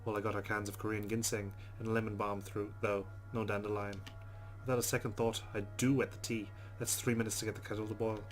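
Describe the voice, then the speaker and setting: A man's voice: lowish voice, flat delivery, fast talker, soft background music, close to an everyday voice. A man reading the first-person thoughts of a rueful young Irish woman in a visual novel, with a put-on Irish accent. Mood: wry.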